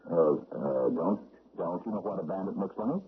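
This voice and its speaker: A man's voice: sits low, expressive, moderate pace. An actor playing a timid, nervous city man who stammers and hesitates with many fillers in a 1970s radio drama. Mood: panicky. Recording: tinny lo-fi old radio broadcast with faint hiss.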